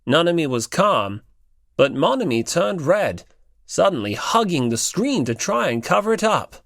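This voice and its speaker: A man's voice: on the high side, big pitch swings, normal speed, creaky, a bit bright, dry recording, clean studio sound. A man reading scripted story narration as an audiobook narrator.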